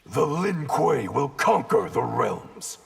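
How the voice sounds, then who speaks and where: A man playing a cold-blooded, grim ice warrior and clan leader in a fighting game, deliberate and threatening. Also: medium pitch, big pitch swings, gravelly, exaggerated voice. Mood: icy menace. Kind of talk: video game fight intro banter.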